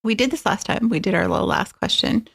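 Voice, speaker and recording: A woman's voice: medium pitch, huge pitch swings, quick pace, gravelly, fairly bright tone, breathy edge. A woman hosting a podcast interview, friendly and encouraging, with lots of short affirmations. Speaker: podcast host. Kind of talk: casual interview chat.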